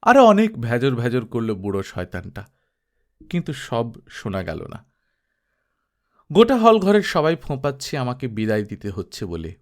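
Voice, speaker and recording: A man reading a first-person adventure story aloud in a steady narrating voice. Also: mid-range voice, moderate pace. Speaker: audiobook narrator.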